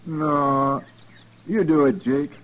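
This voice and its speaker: A man's voice: a little high, steady tone, takes it slowly. An actor playing a wary cowboy henchman in a muffled old radio western. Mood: uneasy. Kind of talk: 1950s radio western acting.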